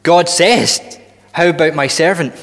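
A man in his thirties preaching a sermon in a church hall on a headset mic, earnest and deliberate, often restarting and building emphasis. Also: a little high, a bit bright. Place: church hall with long reverb.

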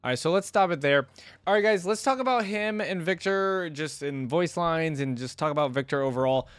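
A man's voice: on the high side, fairly quick, fairly bright tone, smooth, no room sound. A young man giving casual, rambling reaction commentary into a close desk microphone as a video host. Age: young adult. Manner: rambling, conversational. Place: indoor gaming setup.

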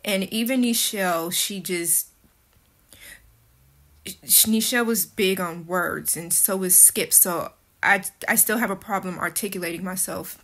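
A woman's voice: medium pitch, measured pace, a bit bright. A young woman with a speech impediment telling a story to her phone camera at home, blunt and candid, cursing casually. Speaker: vlogger. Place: kitchen and living room at home, indoors. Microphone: phone camera held close.

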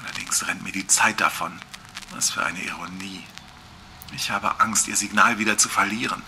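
Casting: male actor for obsessive lone scientist, lowish voice with a very sharp, tense edge, confiding horror monologue. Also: steady tone, moderate pace, a little hiss or hum, heavily acted. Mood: tense, brooding. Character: obsessive lone male researcher, anxious, brooding, racing against time. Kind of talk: German audio horror drama monologue.

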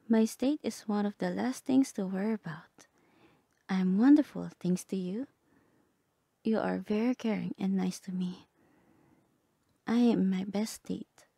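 A woman playing a gentle, lovestruck bard companion in a romantic ASMR roleplay, speaking softly and tenderly, often half whispering. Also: medium pitch, expressive, takes it slowly, gravelly, long pauses, husky, no room sound, studio quality, heavily acted. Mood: pleased, tender. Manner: tender, hesitant, polite.

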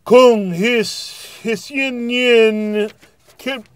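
A man playing an old, earnest Santa Claus, hearty and deliberate as he records greetings in a comedy sketch. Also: very high-pitched, fairly even intonation, smooth, exaggerated voice. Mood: strained effort. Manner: jolly, hearty, deliberate delivery. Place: small dead recording studio booth. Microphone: studio condenser microphone, close.

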